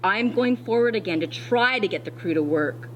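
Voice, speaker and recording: Expressive woman reading a storybook aloud. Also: medium pitch, a little hiss or hum, low-quality recording. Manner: expressive, deliberate reading with dramatic pauses. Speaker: schoolteacher. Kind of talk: read-aloud of a children's storybook with teacher asides.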